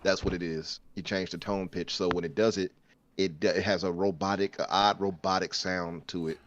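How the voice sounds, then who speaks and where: A man's voice: deep voice, steady tone, moderate pace, a bit bright, dull audio, a little hiss or hum. A man guest on a gaming podcast, agreeable and hesitant, stumbling over words. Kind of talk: casual gaming podcast chat.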